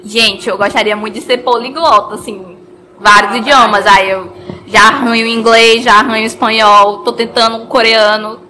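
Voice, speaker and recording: A woman's voice: fairly high pitch, fairly even intonation, moderate pace, pushed and bright, a little hiss or hum, rough recording. A woman co-hosting a podcast chat in an upbeat, enthusiastic way. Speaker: podcast co-host. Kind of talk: casual chat.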